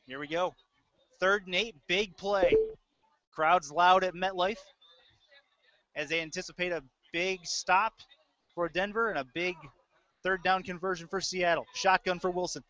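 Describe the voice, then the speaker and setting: A man's voice: high-pitched, fairly even intonation, a little slow, pauses often, bright, forceful tone, no echo. A man doing live play-by-play football commentary, mixing clipped present-tense calls with casual asides. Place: indoor audio-only webcast. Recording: dull lo-fi audio.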